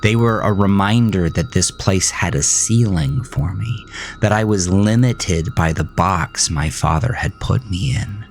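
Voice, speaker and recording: A man tells a scripted horror story in the first person. His voice is low and unhurried, with a measured, dramatic delivery, and music plays behind him.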